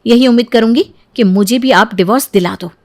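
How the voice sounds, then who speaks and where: A woman's voice: a little high, a little fast, lightly acted. A woman voicing a hurt, stubborn young wife in a story, resolute and aggrieved. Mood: determined.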